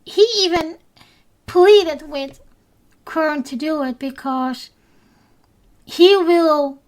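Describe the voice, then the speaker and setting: A woman with a Dutch accent, a native Dutch speaker, hosting a solo podcast recap, unhurried and hesitant with frequent ums and restarts. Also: high-pitched. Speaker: podcast host.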